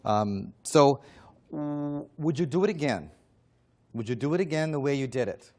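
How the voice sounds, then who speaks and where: A man's voice: on the high side, lively intonation, normal speed, a little mellow. A man moderating a panel, asking questions plainly with frequent ums.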